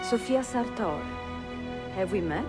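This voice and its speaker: An actress playing a spirited, curious Italian bookseller in a video game, polite and expressive, with an Italian accent. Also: female voice, medium pitch, measured pace, lightly acted. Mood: polite, bright. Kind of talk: video game cutscene acting. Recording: music clearly under dialogue.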